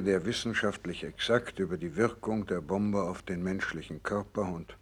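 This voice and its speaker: A gravely ill radiologist in a 1960s radio drama. The voice is fairly low and husky, and he speaks with measured, earnest resolve, insisting on his work despite his illness. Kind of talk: 1960s German radio play acting.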